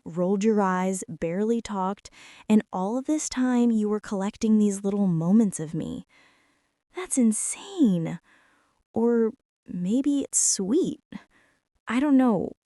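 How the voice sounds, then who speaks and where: Soft, slow young former bully girl, acted by a woman. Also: medium pitch, animated, dry recording, clean studio sound. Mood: uneasy, confused. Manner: hushed, hesitant, slow, often slipping into whisper. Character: young female former bully, stunned, teasing, softening into tenderness. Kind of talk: intimate girlfriend ASMR roleplay.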